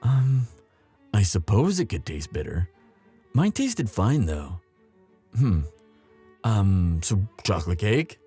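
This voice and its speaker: A man playing a young prince, a tender and anxious lover, in an intimate, halting romantic audio roleplay. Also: lowish voice, big pitch swings, mellow, slightly rough, soft background music, exaggerated voice. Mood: tender, teasing.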